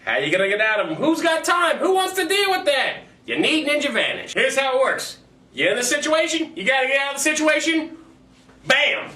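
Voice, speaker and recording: A man playing a manic infomercial pitchman, shouty and emphatic, in a parody TV ad. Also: very animated, a little fast, far from the mic, slight background noise, exaggerated voice. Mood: excited sales pitch. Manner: emphatic, shouty salesman delivery. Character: manic infomercial pitchman hawking an escape gimmick. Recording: roomy, echoey, off-mic sound.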